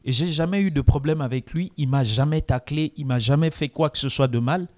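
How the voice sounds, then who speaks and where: A man's voice: fairly high pitch, brisk, darkish tone. Man answering at a press conference. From Congo. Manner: mixes French with Lingala, reflective.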